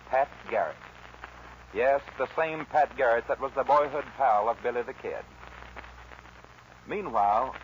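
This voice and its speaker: Male narrator, American, medium pitch, solemn, theatrical, 1940s radio storytelling, muffled recording, faint hiss.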